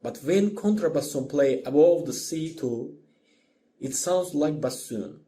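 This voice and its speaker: A man giving a scripted lecture as a voice-over, in a measured, explanatory manner. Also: a little high, dark tone. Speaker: music lecturer.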